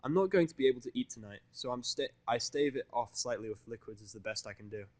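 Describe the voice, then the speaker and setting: A man's voice: medium pitch, expressive, quick pace, a little mellow, no room sound. A man reading scripted first-person fiction in a wry, informal way. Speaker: audiobook-style fan fiction narrator.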